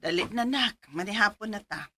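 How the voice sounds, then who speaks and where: A woman's voice: medium pitch, lively intonation, normal speed, over loud music, lightly acted. An actress playing a middle-aged, fussy but caring mother in a Filipino radio drama, nagging expressively with a Cebuano accent. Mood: motherly. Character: fussy, caring, scolding mother of grown son.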